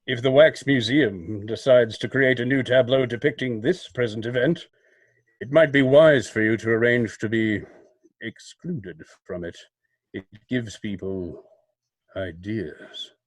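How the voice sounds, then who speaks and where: An actor playing a knowing crime-fighting avenger in old-time radio drama style, speaking in a measured, formal, commanding way. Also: male voice, medium pitch, no echo, close to an everyday voice, rough recording. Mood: cool, wry. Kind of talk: 1940s radio drama acting.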